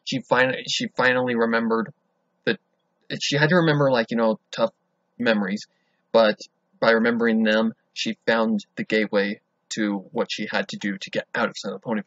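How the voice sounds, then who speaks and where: A man reacting to a story, excitable and thinking out loud, swearing now and then. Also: on the low side, moderate pace, dry recording. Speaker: reaction video host. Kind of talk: casual reaction commentary. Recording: dull lo-fi home recording.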